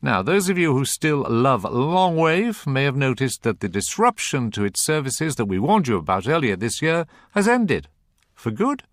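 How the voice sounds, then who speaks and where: A man hosts a radio programme in a close, dry studio. His delivery is scripted, with animated swings of pitch as he presents. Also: no echo.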